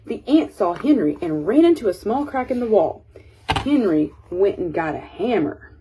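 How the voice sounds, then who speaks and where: A woman reading a children's picture book aloud as an expressive storyteller. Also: medium pitch, moderate pace, a little hiss or hum, low-quality recording.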